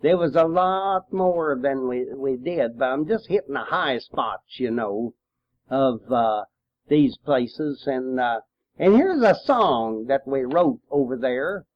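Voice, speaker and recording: Slow-talking older man, folksy storyteller. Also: medium pitch, lively intonation, highs cut off. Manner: folksy, rambling storytelling with long hesitations. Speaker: war veteran recounting memories. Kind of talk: informal spoken reminiscence.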